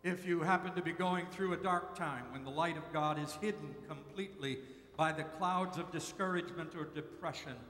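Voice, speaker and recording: An older man preaching a sermon from a pulpit, with measured, slowly repeated emphasis. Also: a little high, fairly bright tone. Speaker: pastor. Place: large church sanctuary at a pulpit. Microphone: pulpit mic, far camera. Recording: echoey church hall.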